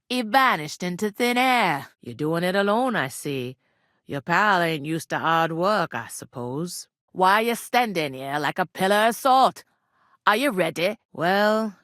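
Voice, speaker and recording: Medieval village innkeeper, rustic English working-class accent, slightly low female voice, blunt, bossy, wry, earthy, dry close studio sound, video game dialogue. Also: fairly bright tone, no room sound. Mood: wry, gossipy, then blunt, needling. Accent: English regional, rustic working-class. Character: plain-spoken, bossy, world-weary medieval village innkeeper woman.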